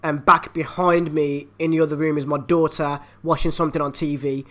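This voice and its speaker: A man with a raspy voice, hoarse from a flu, chatting upbeat and direct to viewers as he reviews a microphone at home on a desktop USB mic. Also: on the high side, flat delivery, brisk, pushed and bright. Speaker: YouTube channel host. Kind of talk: casual product review and unboxing. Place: at home, indoors.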